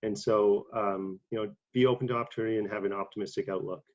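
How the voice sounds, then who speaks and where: A young man with a low voice that barely rises or falls speaks haltingly, filling gaps with "um" and repeating words. He sounds congested from a cold. The recording is dull and lo-fi, like an online presentation. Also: steady tone. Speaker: conference speaker. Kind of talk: career talk to an online audience.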